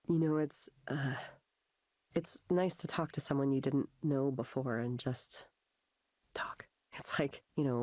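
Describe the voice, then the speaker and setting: A woman's voice: very deep voice, darkish tone, dry recording. An actress voicing a self-deprecating woman in a video game who confides in a hesitant, rambling, natural way. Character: self-deprecating aspiring performer confiding in a counselor.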